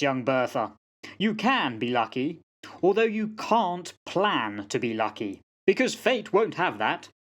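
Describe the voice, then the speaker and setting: A man's voice: medium pitch, a bit bright. A man playing a fatalistic old sergeant-major in a radio play, telling yarns in a folksy, dramatic way. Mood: wry, fatalistic. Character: old soldier sergeant-major, fatalistic yarn-spinning raconteur. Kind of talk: amateur radio play acting.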